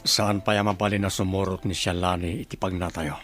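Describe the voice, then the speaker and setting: Actor playing a low-voiced Ilocano rebel leader. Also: male voice, fairly even intonation, brisk, music in the background, close to an everyday voice. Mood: stern. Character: cautious, authoritative male rebel leader. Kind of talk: Ilocano radio drama acting.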